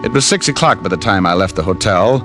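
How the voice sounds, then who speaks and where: An American man acting a wry, tough private detective in an old radio drama, narrating and talking in a deadpan way. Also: low-pitched, fast, music in the background. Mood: matter-of-fact. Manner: wisecracking, deadpan. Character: wry, tough male private detective, cool under threat. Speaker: lead actor and narrator. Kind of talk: 1940s-50s radio detective acting and first-person narration.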